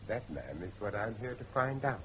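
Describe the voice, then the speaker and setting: A middle-aged man acting a determined father and judge in old-fashioned radio drama style, with a dramatic, deliberate delivery. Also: lowish voice, very animated, a bit bright, slight background noise. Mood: firm, probing. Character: grave, determined father, a judge seeking his missing son.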